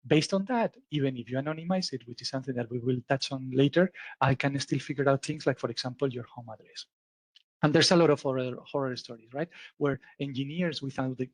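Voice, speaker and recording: A man with a Spanish accent lectures at a symposium, walking through his points with plenty of ums and a habit of asking "right?". His voice sits at a middle pitch, and the sound is dry and close, with little room echo. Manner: explanatory, many fillers and "right?" checks. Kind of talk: conference talk. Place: lecture hall.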